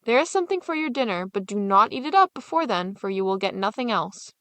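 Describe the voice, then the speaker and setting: A woman voicing a hard, selfish stepmother from a fairy tale, bossy, sharp-tongued and scornful. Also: mid-range voice, expressive, fast, no echo, close to an everyday voice.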